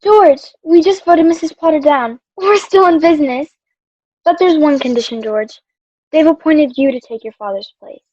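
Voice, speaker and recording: Lady doing earnest urgent board member in a radio play, high voice, close dry sound. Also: normal speed, darkish tone, no room sound, highs cut off, natural voice. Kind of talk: old-time radio theatre acting.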